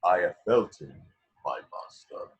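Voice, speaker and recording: Menacing, obedient dark lord played by a man, low-pitched, solemn, for an amateur script read. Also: animated, measured pace, dull audio. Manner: solemn, formal. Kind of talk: amateur table read acting. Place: remote video call from home.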